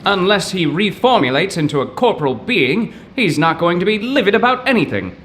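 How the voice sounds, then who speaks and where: Man playing a high-pitched, flustered poetry professor. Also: big pitch swings, brisk, a bit bright, slight background noise. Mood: indignant. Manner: florid, overwrought, stammering. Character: excitable, flustered male poetry professor, wordy and dramatic. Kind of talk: comic mystery audio drama acting.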